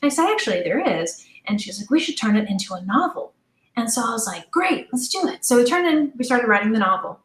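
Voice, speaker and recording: A middle-aged woman chatting casually as an interview guest, telling stories in an animated way and acting out bits of quoted dialogue. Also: fairly high pitch, fast. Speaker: interview guest, audio drama creator. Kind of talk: casual conversational storytelling. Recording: roomy, echoing sound.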